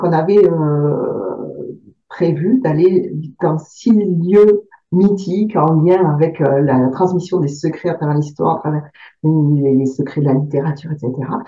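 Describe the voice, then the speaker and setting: Very dark-voiced woman, distant and roomy. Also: on the low side, a little slow. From Aveyron, southern France. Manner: hesitant, many fillers and repeated words. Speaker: author. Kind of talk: interview answers about her book. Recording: distant, roomy, dull sound.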